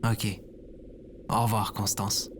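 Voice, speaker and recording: An actor playing a lazy, grumbling slacker man in his thirties, casual, slangy and deadpan, in an acted audio comedy. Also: mid-range voice, slow, heavy background noise, close to an everyday voice. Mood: sleepy, grumpy.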